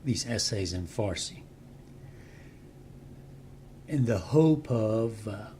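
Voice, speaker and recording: An older man from Iran with a Persian accent, speaking slowly and deliberately in an explanatory talk for his channel. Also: lowish voice, lively intonation, dark tone, a little hiss or hum. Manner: measured, deliberate, with pauses. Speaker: channel host and translator. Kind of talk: slow explanatory talk to camera.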